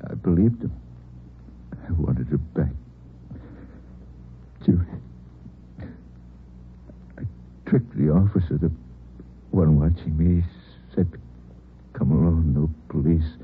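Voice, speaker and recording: An actor playing a grief-stricken father in deep shock, speaking in halting, broken phrases and repeating himself, in a 1950s radio drama. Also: slight background noise, heavily acted. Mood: dazed. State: in shock, distraught. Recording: narrow-band old radio broadcast.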